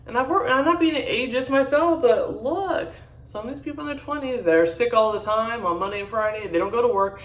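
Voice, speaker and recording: A deep-voiced woman in her forties chatting casually to her viewers, rambling, blunt and candid. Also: brisk, recorded from a distance. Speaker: vlogger. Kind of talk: casual chat to camera. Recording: echoey room, voice a bit off-mic.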